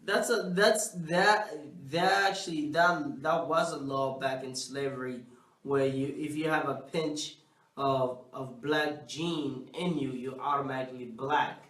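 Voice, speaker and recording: A man's voice: mid-range voice, steady tone, measured pace, a bit bright. A man debating casually on a panel, insistently repeating phrases to hold the floor. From grew up half in Africa, half in the United States. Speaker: talk show panelist. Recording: echoey room, distant microphone.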